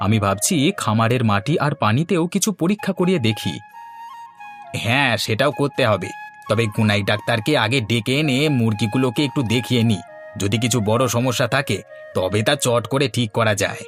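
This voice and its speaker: A man's voice: medium pitch, brisk, soft background music, natural voice. A man playing an earnest village chicken farmer in scripted cartoon dialogue. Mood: worried.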